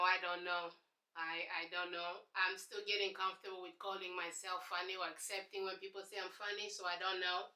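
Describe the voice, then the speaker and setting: A woman's voice: mid-range voice, sharp tone, reverberant, rough recording. A young woman from Congo with a Congolese accent, answering viewer questions in a casual, sassy vlog. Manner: chatty, sassy, preachy asides. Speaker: YouTube host.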